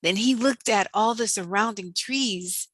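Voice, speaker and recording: A woman narrating a children's story as its host, in an expressive, dramatic scripted style. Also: medium pitch, measured pace, no room sound.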